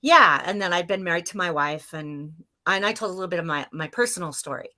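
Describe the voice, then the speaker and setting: A woman's voice: fairly low pitch, animated, fairly quick, pushed and bright. An older woman telling her story as a guest over a video call, earnest and hesitant. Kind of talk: casual video-call interview.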